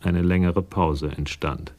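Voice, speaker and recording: A man's voice: deep voice, fairly even intonation, slow, darkish tone. A man narrating a German radio crime drama from a script in a storytelling role.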